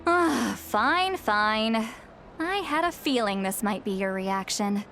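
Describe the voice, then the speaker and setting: A woman's voice: fairly high pitch, lively intonation, slow pace, a bit bright, faint music behind, full character voice. A woman voicing a wary, stern self-styled granny with a villainous streak and a dry, commanding manner in a video game. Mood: grudging.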